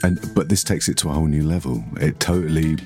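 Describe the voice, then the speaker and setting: A man's voice: very deep voice, steady tone, fast, a little mellow, faint music behind. A man co-hosting a podcast, explaining things with enthusiasm in discussion. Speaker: podcast co-host.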